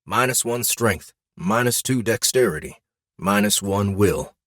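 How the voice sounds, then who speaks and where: A man narrating a scripted fantasy audiobook in an expressive, deliberate storytelling manner. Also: fairly low pitch, no echo, clean studio sound. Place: dry studio.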